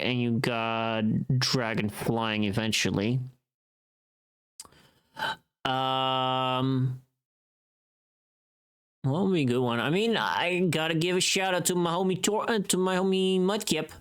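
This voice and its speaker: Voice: mid-range voice, fairly even intonation, slow pace, long pauses, clean tone. A livestreamer chatting casually while working, rambling and muttering to themself. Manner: rambling, muttering to self, humming. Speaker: livestreamer, voice actor.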